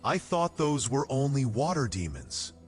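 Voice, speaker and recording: A man's voice: medium pitch, very animated, a little slow, soft background music. A man playing a stern, dutiful castle knight who speaks formally in a fantasy audio drama. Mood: wary.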